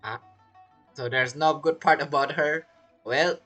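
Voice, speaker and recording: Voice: mid-range voice, steady tone, measured pace, fairly bright tone, soft background music. A game streamer giving casual, amused reaction commentary in informal, loosely grammatical English.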